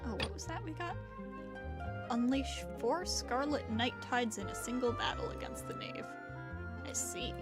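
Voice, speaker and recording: Female game streamer, higher-pitched and animated, casual unhurried delivery, loud music underneath. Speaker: game livestreamer. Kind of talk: casual livestream chat and game commentary.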